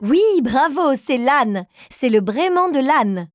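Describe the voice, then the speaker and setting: A woman's voice: sits high, expressive, slow pace, loud music behind the voice. A woman playing a kind, teacherly grown-up who encourages small children through riddles in a cartoon. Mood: encouraging.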